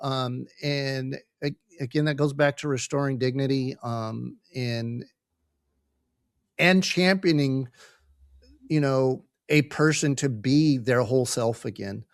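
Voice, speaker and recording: Halting, earnest man in a dry-sounding recording. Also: mid-range voice, no echo. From United States. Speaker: podcast guest, family caregiver. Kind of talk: personal interview talk, earnest.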